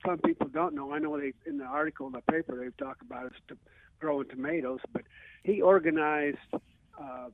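A man's voice: sits high, animated, fairly quick, gravelly, dry recording. An older American man reminiscing casually as a radio phone-in guest, rambling with plenty of verbal filler. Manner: rambling, peppered with "you know". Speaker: phone-in guest, retired teacher. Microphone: telephone. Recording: tinny narrowband phone line.

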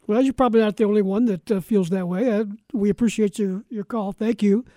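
A man's voice: high-pitched, steady tone, a little fast, mellow, no room sound. An American man hosting live sports talk radio, moving between callers, guests and breaks on a close studio mic. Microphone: close broadcast mic.

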